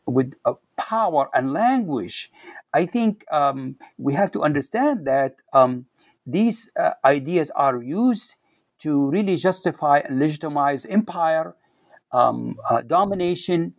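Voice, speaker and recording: A man speaking as an interviewed scholar, making emphatic academic points in a halting, searching way with repeated words. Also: a little high, dry recording. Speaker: scholar and author being interviewed.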